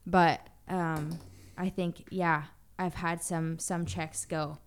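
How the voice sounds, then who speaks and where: Chatty young woman, lowish voice. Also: flat delivery, slow pace, clear, resonant tone. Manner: chatty, many "like" fillers. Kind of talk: casual chat.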